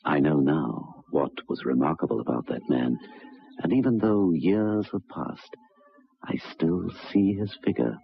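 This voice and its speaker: A very deep, gravelly haunted storyteller. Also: male voice, exaggerated voice. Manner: literary, measured, brooding. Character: haunted male storyteller recalling an uncanny railway encounter. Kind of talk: 1960s radio drama narration. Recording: dull, noisy 1960s radio recording.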